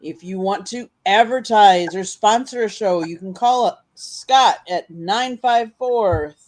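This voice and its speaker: An announcer reads a scripted station promo in a steady, informational way. The voice sits at an ordinary middle pitch.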